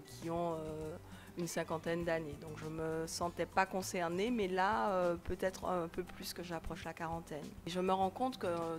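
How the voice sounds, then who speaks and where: A woman in her late thirties, a TV editor and presenter, answering interview questions with frequent hesitations and restarts. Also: lowish voice. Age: late 30s.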